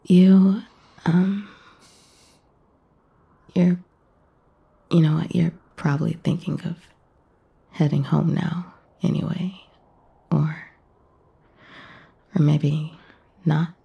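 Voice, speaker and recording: Very breathy, creaky, slow woman as a flirt. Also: low-pitched, fairly even intonation, warm tone, exaggerated voice. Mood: hesitant, coy. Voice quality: breathy, husky. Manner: hesitant, teasing, sighing half-whispers. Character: coy, flirtatious woman smitten with a stranger, playful and tender. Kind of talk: intimate romance audio drama.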